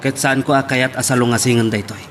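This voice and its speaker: A man's voice: mid-range voice, flat delivery, quick pace, fairly bright tone, slight background noise, close to an everyday voice. A man playing a stern young man giving strict orders in an Ilocano radio drama. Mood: instructing. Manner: commanding, strict.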